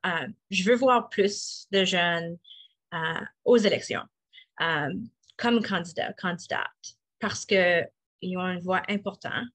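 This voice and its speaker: Woman, middle-aged, slow unhurried delivery, hesitant with lots of fillers, video call. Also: on the low side, a bit bright. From Eastern Ontario, Canada. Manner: halting, many fillers and restarts. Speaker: municipal councillor and guest. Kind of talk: interview answers.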